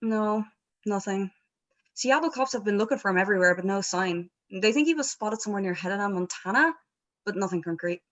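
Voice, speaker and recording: A woman's voice: medium pitch, animated, fairly quick, a bit bright, close to an everyday voice. A woman playing a flustered, apologetic rock band manager in a script read, with a hesitant, wry manner. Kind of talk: informal script table read.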